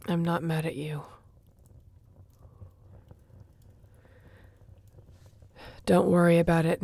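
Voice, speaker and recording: A woman playing a curt, lowish-voiced sardonic tracker. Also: vocal fry, a little mellow, dry recording, exaggerated voice. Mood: resigned, sardonic. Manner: curt, dry sarcasm. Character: irritable, guarded, sardonic young female shifter tracker. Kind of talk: dramatic audio roleplay.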